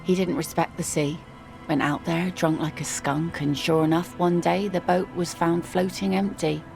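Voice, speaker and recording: Blunt seaside villager, video game dialogue, heavy vocal fry, low-pitched, grim, matter-of-fact. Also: female voice, steady tone, moderate pace, darkish tone, soft background music. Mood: grimly matter-of-fact.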